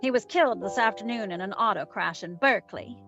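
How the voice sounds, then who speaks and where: A woman's voice: medium pitch, a little fast, fairly bright tone, soft background music, natural voice. A woman playing a wisecracking, self-assured female private eye in a wry, slangy 1940s radio detective style. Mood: wry recounting. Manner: wry, slangy, theatrical storytelling. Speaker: community theatre voice actor.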